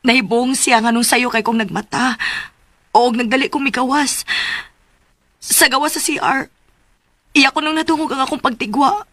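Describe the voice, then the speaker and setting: A woman's voice: sits high, fairly even intonation, normal speed, pauses often, a bit bright, dry recording, clean studio sound. An actress playing an anxious, pleading young woman in a radio drama, with a Cebuano accent. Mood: fearful, confiding. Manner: emotional, pleading.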